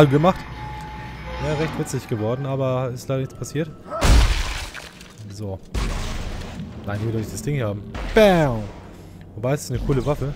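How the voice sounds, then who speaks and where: A man giving casual, slangy gaming commentary, playfully griping and talking to the game's characters. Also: medium pitch. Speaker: let's player.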